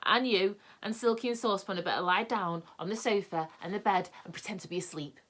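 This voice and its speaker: A woman voicing an eager young boy in a children's story, quick and a little alarmed. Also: mid-range voice, fairly bright tone, voice pushed up, natural voice. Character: lively young boy adventurer.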